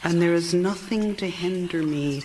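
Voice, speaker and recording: A woman's voice: on the low side, moderate pace, natural voice. A woman playing an anxious, meek young wife in live period radio theater, with fretful, formal old-fashioned diction. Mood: confiding, melancholy. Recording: live theater recording, clear background noise.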